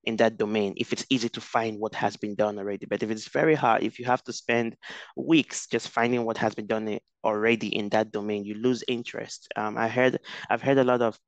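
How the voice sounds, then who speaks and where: Low, smooth-voiced Nigerian-born man. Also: fairly even intonation, fairly quick, no echo, dull audio. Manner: thoughtful, with frequent restarts and fillers. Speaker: guest researcher. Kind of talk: casual interview over video call. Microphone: headset.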